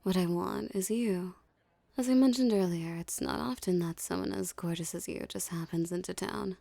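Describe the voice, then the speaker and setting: A woman playing a dominant, seductive mafia boss in an ASMR roleplay, with a soft, teasing, condescending drawl and menacing self-assurance. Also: on the low side, moderate pace, vocal fry, no room sound.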